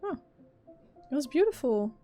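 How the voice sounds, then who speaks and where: A young woman streams a game and reacts to it out loud. Her voice is fairly high, casual and playful, full of slang, with faint music behind it. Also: very animated, moderate pace. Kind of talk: casual live gameplay reaction.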